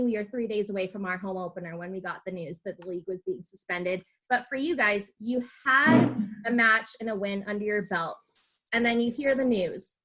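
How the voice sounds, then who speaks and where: A woman's voice: mid-range voice, a bit bright. A woman hosting a casual sports podcast, asking questions in an informal, conversational way. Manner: conversational, informal, uses y'all. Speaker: podcast host and interviewer. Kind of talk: casual sports interview chat.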